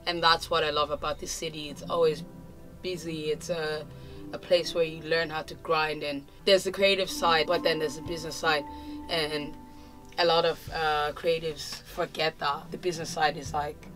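Young woman, low voice, relaxed, thoughtful, casual interview, indoor studio, faint music behind. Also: moderate pace, low-quality recording. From Zimbabwe. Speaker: musician guest. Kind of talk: reflective interview answers. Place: indoor studio with clothing racks.

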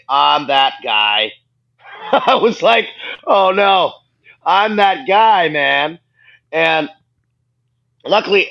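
Blunt guy with a gravelly voice, laughs at himself, dull call audio. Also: on the high side, a bit bright. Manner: blunt, self-mocking, laughs often. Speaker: guest. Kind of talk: candid storytelling interview. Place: living room at home. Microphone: video call.

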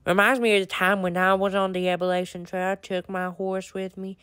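A woman's voice: medium pitch, flat delivery, brisk, fairly bright tone, clean tone, dry recording, studio quality, lightly acted. A woman voicing a chatty, friendly male horse farmer with a Southern accent in a pretend-play story, folksy and effusive. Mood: chatty, welcoming.

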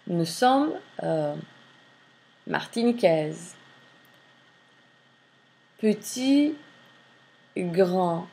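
A woman's voice: mid-range voice, mellow. A woman French teacher, reading textbook lines aloud and explaining them in English during a screen-recorded lesson. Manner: reads French lines then translates, slow and deliberate.

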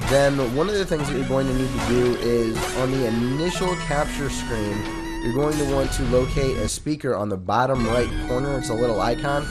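A man giving a casual Let's Play tutorial into a USB condenser mic at his home gaming setup, talking relaxed with many uhs and ums. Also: medium pitch. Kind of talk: casual software tutorial and game commentary. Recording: voice over loud game music. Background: game music.

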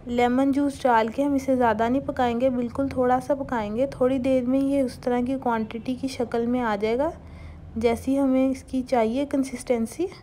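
A young woman hosting a home cooking channel, calmly talking viewers through a recipe step by step and mixing English cooking terms into her speech. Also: high-pitched, moderate pace, a little hiss or hum. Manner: calm, instructive, mixes English cooking terms into Hindustani speech.

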